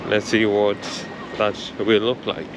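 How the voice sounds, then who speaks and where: A young man chatting slowly and thoughtfully as he films a walking travel vlog, first outdoors at a rainy harbor, then inside a concert hall. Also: lowish voice, completely flat delivery, lo-fi sound. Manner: slow, thoughtful, chatty, says he struggles with R and L. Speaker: travel vlogger. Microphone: camera held close at chest height. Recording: street noise behind the voice.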